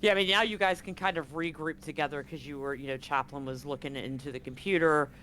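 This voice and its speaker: A game master improvises tabletop narration in a conversational voice at a middle pitch. Dramatic pauses break up the storytelling, and some background noise is audible. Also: sharp tone.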